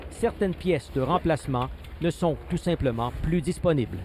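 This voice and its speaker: A man delivering a scripted news report from the field in a clear, measured way. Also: fairly high pitch, a little mellow, slight background noise.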